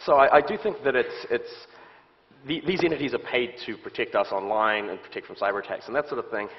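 A man in his thirties with a New Zealand accent, a security expert answering interview questions on a stage, often restarting his sentences. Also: mid-range voice. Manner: halting, many restarts and fillers. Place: stage set with audience, indoors. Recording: muffled stage audio.

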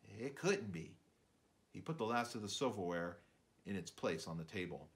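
A man in his fifties narrating a children's book aloud in an expressive, theatrical way. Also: low voice, brisk. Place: indoors, book-lined room.

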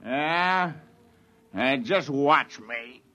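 A man's voice: medium pitch, lively intonation, extremely slow, exaggerated voice. An actor playing a crude, careless, drunken and belligerent spaceman in a brash 1950s radio drama style. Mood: taunting. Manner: brash, slangy, shouting. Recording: noisy vintage radio recording.

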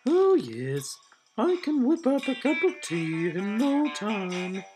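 A woman's voice: medium pitch, very animated, slow pace. A woman voicing an eager, overly helpful Swedish muscle-bound male secretary with a moustache and a put-on Swedish accent, speaking in earnest, formal phrasing. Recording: music playing behind voice. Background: music.

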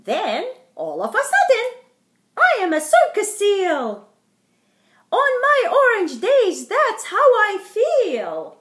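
A middle-aged woman reading a picture book aloud to young children in a theatrical, deliberate way, as a storytime host. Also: very high-pitched, wildly swooping pitch, a bit bright. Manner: theatrical, slow and deliberate, addressing small children. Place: indoor wood-panelled living room. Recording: roomy, echoing home recording.

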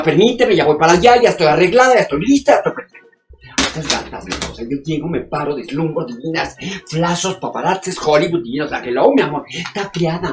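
A performer playing a vain woman celebrity diva with a Mexican accent, loud, fast and hyper-dramatic in a comedy sketch. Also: mid-range voice, roomy sound, a little hiss or hum, lightly acted.

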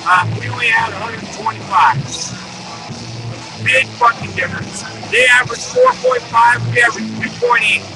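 A man's voice: extremely high pitch, huge pitch swings, normal speed, creaky, over music, rough recording. A man hosting a casual post-game sports commentary, talking bluntly and colloquially like a fan. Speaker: sports show host.

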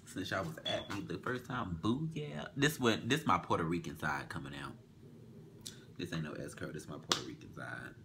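A young man co-hosting a casual chat show, teasing with quick comebacks and often dropping to a murmur, recorded in a small bedroom. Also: sits low, background noise. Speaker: co-host.

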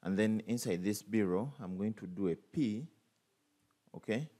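Low, mellow man talking through code. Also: unhurried. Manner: thinks aloud, many fillers and restarts. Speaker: programming tutor. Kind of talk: screen-recorded coding tutorial.

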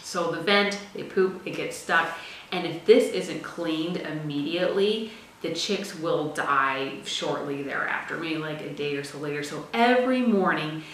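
Brief: young female farm vlogger, low voice, animated, recorded in a reverberant room. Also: distant mic, slight background noise. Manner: chatty, animated, talks with her hands. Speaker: farm video host. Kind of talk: casual explainer to camera. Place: small room indoors, seated at a laptop.

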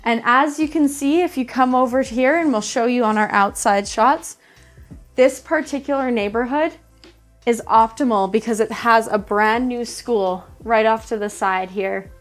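A woman in her thirties with a fairly high voice guides viewers through a show home as she walks from room to room. Her delivery is upbeat and presenter-like. Soft music plays faintly behind her. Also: measured pace. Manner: upbeat presenter, describing rooms while walking through. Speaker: house tour host. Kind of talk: friendly real estate walkthrough. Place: empty furnished show home indoors. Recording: faint background music under voice.

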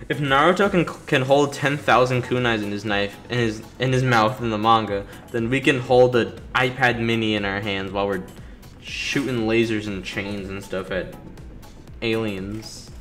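A man's voice: medium pitch, moderate pace, a bit bright. A man giving casual let's play commentary as a gaming video host, griping with impatient sarcasm. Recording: faint game music behind.

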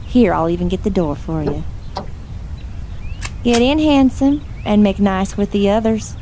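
An actress playing a flirtatious, cruel kidnapper. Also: female voice, mid-range voice, lively intonation, measured pace, dark tone, a little hiss or hum, close to an everyday voice. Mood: taunting. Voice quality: flirtatious, mocking.

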